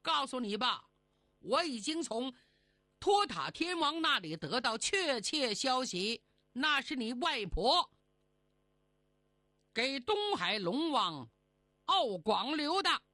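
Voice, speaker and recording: A woman's voice: on the high side, very animated, measured pace, bright, forceful tone, no echo, clean studio sound, full character voice. A woman voicing a grumpy, sneering male god in a comic folk tale, scornful, crude and grumbling.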